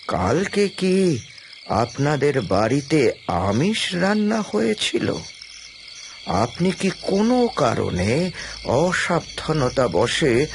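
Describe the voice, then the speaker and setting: A slow, gravelly old shopkeeper. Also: male voice, mid-range voice, a little hiss or hum, exaggerated voice. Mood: cautious, probing. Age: older. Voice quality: gravelly, creaky. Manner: slow, deliberate, ominous. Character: grave elderly shopkeeper, proud, superstitious, patient. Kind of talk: audio drama acting.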